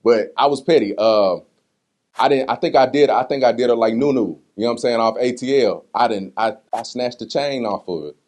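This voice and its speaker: A man's voice: mid-range voice, brisk. A man talking casually and candidly as an interview guest, in slangy conversational speech, acting out what people said as he tells stories. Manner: slangy, conversational, animated retelling with acted-out quotes. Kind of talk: casual candid interview talk answering personal questions.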